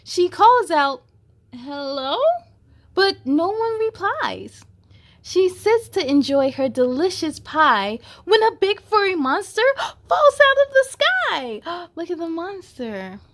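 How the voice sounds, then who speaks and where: A woman's voice: very high voice, very animated, takes it slowly, a bit bright. A woman reading a picture book aloud for children, expressively, addressing young listeners with questions and exclamations. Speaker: host of children's read-aloud story time.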